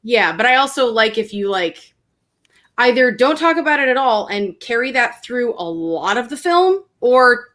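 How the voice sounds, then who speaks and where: A woman's voice: fairly high pitch, sing-song, normal speed, a bit bright. Opinionated woman in casual chat. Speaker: guest film commentator.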